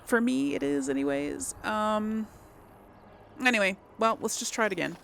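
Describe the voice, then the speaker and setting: A woman's voice: mid-range voice, lively intonation, normal speed, vocal fry, fairly bright tone, a little hiss or hum. A woman giving casual let's play commentary, hesitantly thinking aloud as she plays. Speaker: gaming video host.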